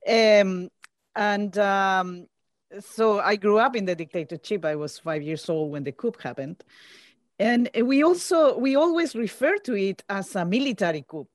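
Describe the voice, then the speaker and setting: A woman in her fifties speaks English with a Chilean Spanish accent and works through her thoughts with pauses and fillers. She is heard over a dry-sounding video call. Her pitch sits mid-range for a woman. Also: animated, no echo. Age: 50s. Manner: thoughtful, searching for words with many fillers.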